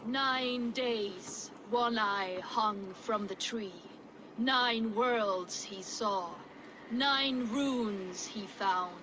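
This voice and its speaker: Chant, slow and measured, heard from afar, noisy game audio. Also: female voice, fairly high pitch. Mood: solemn. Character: solemn woman chanting an old myth.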